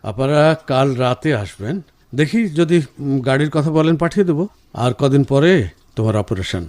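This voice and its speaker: A man playing a caring, reassuring husband in a radio drama, speaking in an earnest, measured way. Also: mid-range voice, animated, darkish tone, no echo, close to an everyday voice. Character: caring, reassuring husband, senior professional, firm but gentle. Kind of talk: Bengali radio drama acting.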